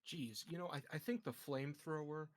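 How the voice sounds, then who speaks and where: A man's voice: fairly high pitch, fairly quick, no room sound. A man chatting casually as a tabletop game player, hesitantly thinking aloud.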